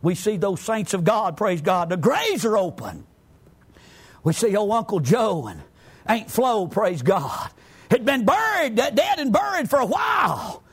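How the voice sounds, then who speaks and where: A man with a Southern US accent preaching a fervent evangelical sermon, exclamatory and often rising toward a shout, punctuating his points with amens. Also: high-pitched, sing-song, unhurried, slightly rough.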